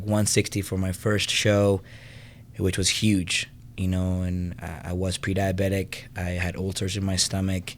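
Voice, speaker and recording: A man in his thirties from Venezuela, a podcast guest telling his own story in a casual, conversational way, often saying "you know". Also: low-pitched. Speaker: podcast guest, fitness business owner and trainer.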